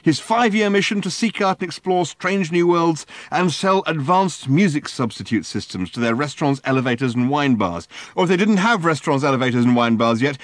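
A man putting on a wild, excitable alien wanderer from an audiobook, shouting his lines up high and fast-wobbling in tone, the voice sitting close and dry. He rants without pause, every sentence pitched near a yell. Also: no echo, full character voice. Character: frantic ranting male alien traveller, excitable.

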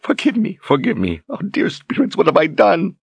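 A man's voice: high voice, huge pitch swings, moderate pace, creaky, sharp tone, breathy edge, no room sound, voice pushed up, exaggerated voice. A man voicing an anguished young female warrior who screams, sobs and pleads. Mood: sobbing.

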